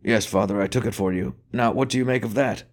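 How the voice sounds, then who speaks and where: A man doing a frail, gentle old cardinal. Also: fairly low pitch, fast, voice pushed up, lightly acted. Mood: musing. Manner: soft, weary, kindly. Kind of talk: audiobook character voice.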